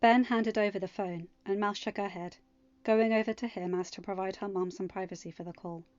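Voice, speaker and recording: A woman's voice: medium pitch, steady tone, brisk, darkish tone, no echo, lo-fi sound. A woman reading a story aloud as scripted narration. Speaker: podfic reader.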